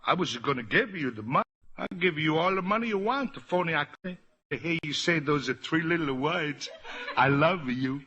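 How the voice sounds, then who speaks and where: A man's voice: on the high side, animated, moderate pace, pushed and bright, dull audio. An actor playing a sly, overbearing Italian immigrant father who wheedles and boasts in broken English with an Italian accent, in old radio comedy style. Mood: wheedling. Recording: noisy vintage radio recording.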